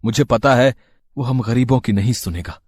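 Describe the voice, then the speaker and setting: A man playing a poor, disheartened village husband in an animated moral story, with a weary, plaintive manner. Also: medium pitch, moderate pace, close to an everyday voice. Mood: doubting, dejected. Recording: music under the voice.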